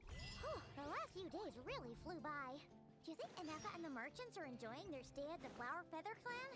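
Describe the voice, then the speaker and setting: A woman voicing a tiny, chirpy, cheeky floating companion sprite in a video game, squeaky, childlike and exclamatory. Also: very animated, fairly quick. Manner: exclamatory, bubbly. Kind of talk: video game character acting. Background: game music.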